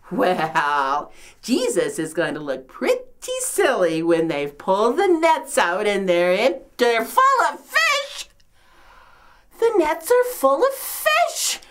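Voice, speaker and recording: A woman playing a complaining, martyred old mother-in-law from biblical times in a comedic monologue, with a New York accent and theatrical delivery. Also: mid-range voice. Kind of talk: comedic dramatic monologue, performed.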